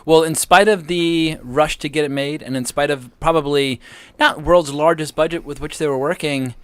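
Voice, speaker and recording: An American man with a somewhat high voice co-hosts a relaxed film chat. He is enthusiastic and articulate, leaning hard on his key words. The recording is close and dry. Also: normal speed, clean tone, dry recording. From United States, near the Appalachian Mountains. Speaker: guest co-host, film podcaster. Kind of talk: casual film discussion.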